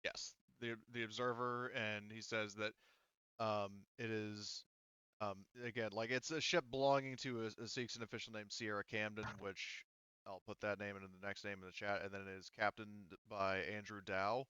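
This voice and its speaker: Guy game mastering, halting casual narration, keeps rewording, dry close sound but kinda muffled. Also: lowish voice, little pitch movement, pushed and bright, smooth, no room sound. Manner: halting, self-correcting.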